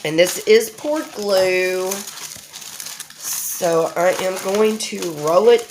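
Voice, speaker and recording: Woman host, middle-aged, nasal with a cold, unhurried homey chatter with plenty of ums. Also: mid-range voice, slight background noise. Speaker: crafting channel host. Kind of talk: casual unboxing vlog.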